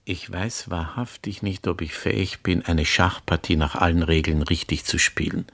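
A man's voice: sits low, steady tone, normal speed, a bit bright, big-room sound. A man playing a courteous, agitated middle-aged stranger in a radio drama, speaking with urgent precision. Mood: modest, hesitant. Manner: urgent, precise, formally polite. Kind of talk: literary radio drama acting.

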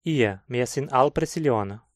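A man's voice: mid-range voice, lively intonation, no room sound, studio quality. Man reading a Hunsrik German lesson. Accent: Brazilian Hunsrik German. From southern Brazil. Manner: slow, clear teaching delivery. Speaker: language course reader. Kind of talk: scripted textbook dialogue.

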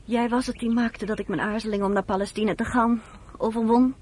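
A woman's voice: fairly high pitch, steady tone, brisk, a little mellow, husky. An actress playing an earnest, hesitant, caring woman in a Dutch radio play, speaking reflectively. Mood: troubled, earnest.

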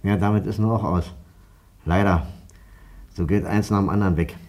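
An actor playing an easygoing, wry court inspector in a radio drama, speaking casually. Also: male voice, low-pitched, fairly even intonation, normal speed, darkish tone. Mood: wry, unhurried. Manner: casual, colloquial, dryly joking.

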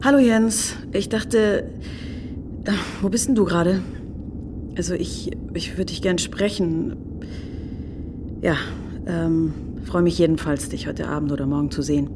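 A woman's voice: on the low side, fairly even intonation, a little slow, long pauses, noisy background, natural voice. An actress playing a sardonic married city woman in an audio drama, speaking in a dry, ironic, conversational way. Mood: hesitant. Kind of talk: German audio drama acting.

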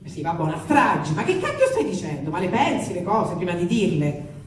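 A woman's voice: lowish voice, sing-song, fairly quick. A woman voicing a blunt, exasperated father who tutors chemistry in a read-aloud story. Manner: blunt, scolding, professorial. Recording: echoey room, distant mic, faint noise.

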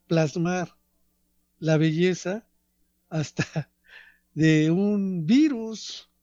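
A man giving a reflective radio talk on art, measured and professorial, pausing between phrases. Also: high voice, warm tone, no room sound, muffled recording. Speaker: radio commentator.

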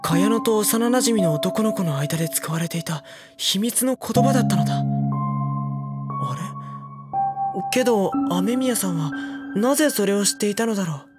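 A woman's voice: medium pitch, animated, moderate pace, natural voice. A woman voicing a reserved, exasperated teenage schoolboy with dry, polite delivery in an audio drama. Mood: pensive. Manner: dry, polite retorts and musing. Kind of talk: Japanese audio drama acting. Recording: background music under the voice.

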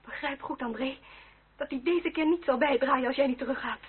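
A woman's voice: high voice, brisk, close to an everyday voice. An actress playing a proud, anxious young noblewoman, formal and earnest in period radio drama style. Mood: anxious pleading. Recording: tinny, band-limited old radio recording with hiss.

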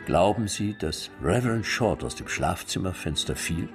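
An actor playing a gruff, sarcastic homicide sergeant who presses witnesses in a radio drama. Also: male voice, sits low, lively intonation, moderate pace, over music. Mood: questioning. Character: gruff middle-aged male homicide sergeant, impatient. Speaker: police detective sergeant. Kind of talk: German radio play acting.

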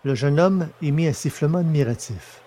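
A man's voice: a little high, very noisy. Man narrating a story. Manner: measured, descriptive. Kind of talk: scripted narration.

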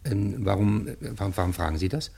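A man's voice: lowish voice, brisk, vocal fry, mellow, breathy edge. An actor playing an evasive, flustered businessman under questioning in a 1950s radio thriller. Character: shady, nervous businessman. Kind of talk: 1950s radio drama acting.

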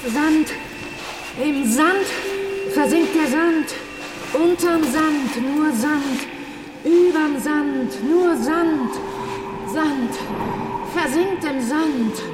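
An actress playing an entranced woman in a stylized radio play, speaking in halting, repetitive incantation. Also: sits high, heavy background noise. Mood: trancelike. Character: entranced female voice chanting of endless sand. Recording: echoey, under loud music.

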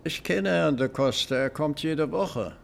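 An actor in a German radio play playing a wary hotel receptionist with a polite, measured, formal manner. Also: male voice, fairly high pitch, gravelly, slight background noise. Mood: formal, deferential.

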